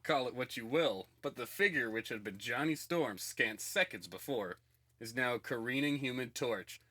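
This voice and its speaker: A man's voice: mid-range voice, expressive, normal speed, sharp tone. A man delivering scripted comic-book narration in a theatrical, ominous way. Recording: music behind the voice. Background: background music.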